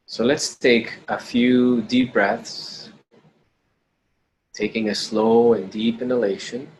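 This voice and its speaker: A man leading a guided meditation in a slow, deliberate, gentle instructional way, pausing between short phrases. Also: mid-range voice, steady tone, rough recording. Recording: clearly audible background noise.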